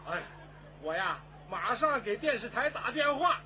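A man's voice: very high voice, sing-song, a little slow, pushed and bright, a faint crowd, full character voice. A man playing a grateful, elated senior police chief on a call in a radio drama. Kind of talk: radio drama acting, phone conversation. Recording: dull sound with faint noise.

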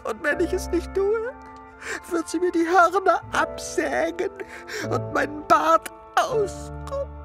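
Radio play faun, bearded but timid, high and halting, guilt-ridden, music behind. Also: female voice, lively intonation, exaggerated voice. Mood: guilty, fearful. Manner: faltering, repeats words. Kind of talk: German radio play acting.

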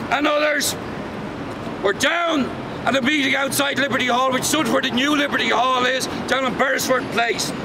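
Older Irish man, walking tour guide, high voice, emphatic reading from notes, heavy street traffic around him. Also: gravelly. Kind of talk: outdoor walking tour talk. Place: busy city street outdoors. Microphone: far camera. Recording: loud traffic noise outdoors.

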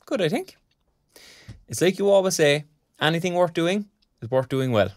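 Audio drama: chatty younger Irish father male actor, put-upon but good-natured, lively intonation, dry recording. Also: fairly high pitch, moderate pace, no echo, natural voice. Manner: colloquial, animated.

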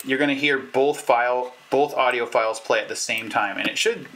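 A man's voice: medium pitch, moderate pace, a bit bright, a little hiss or hum, low-quality recording. A man in his thirties explaining a how-to in an informal, stop-and-start way as he demonstrates. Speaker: YouTube tutorial presenter. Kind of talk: casual explanatory how-to talk. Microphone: clip-on lavalier or camera mic.

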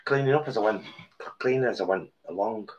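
A man's voice: on the low side, brisk, darkish tone, lo-fi sound. An older man co-hosting a live cooking stream from his home kitchen, with dry, teasing banter. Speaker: co-host and cook. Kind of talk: casual live-stream chat.

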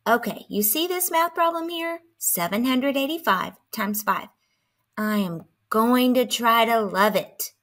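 A woman's voice: on the high side, expressive, measured pace, fairly bright tone. A woman playing a grumpy, dramatic math skeptic who complains and exclaims in a children's storybook. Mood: musing.

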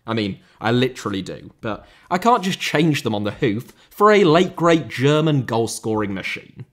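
A man voices a football countdown with dry, wry humour. His voice is nasal from hay fever, and his pitch moves a lot from line to line. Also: sing-song, normal speed. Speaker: football video host. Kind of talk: scripted voice-over narration.

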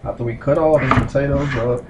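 A young man talks through a recipe at his kitchen counter, unhurried and full of little pauses. His voice bounces around the small room, picked up by a camera mic some distance away, with loud steady noise underneath. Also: mid-range voice, roomy sound. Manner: unhurried, explains step by step with many fillers. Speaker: home cook. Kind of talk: casual cooking demonstration. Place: small home kitchen, indoors.

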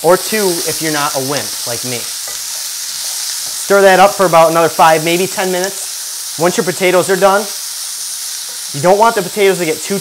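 A man's voice: a little high, noisy background. Laid-back man cooking in a kitchen. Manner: laid-back, jokey, talks to camera while cooking. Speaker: cooking show host. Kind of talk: casual cooking demonstration. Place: home kitchen, indoors.

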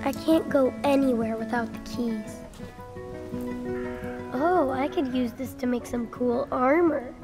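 High-voiced, imaginative young boy. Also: unhurried, pauses often, music in the background. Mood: playful musing. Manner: hesitant, playful, muttering to himself. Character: imaginative, dutiful ten-year-old boy playing alone. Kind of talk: video game voice acting.

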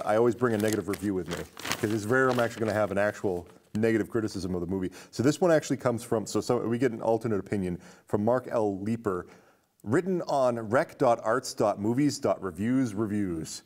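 A man in his thirties hosts a casual movie discussion in an indoor studio. His voice sits fairly low, and he talks at length with enthusiasm. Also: fast, darkish tone. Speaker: host of a film discussion show. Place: indoor studio stage with curtain.

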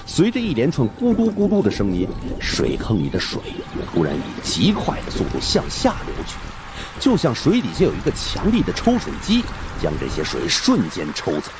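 A man's voice: medium pitch, wildly swooping pitch, normal speed, background noise. A man narrating a horror story in a suspenseful, theatrical way. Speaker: audiobook narrator.

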